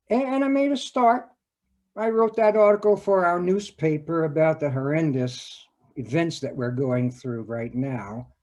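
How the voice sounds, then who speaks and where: A man acting a dramatic monologue as an earnest, conflicted man confiding in a halting, reflective way. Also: a little high, mellow, heavily acted. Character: earnest, conflicted man confiding about money, love and conscience. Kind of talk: dramatic stage monologue in readers theater.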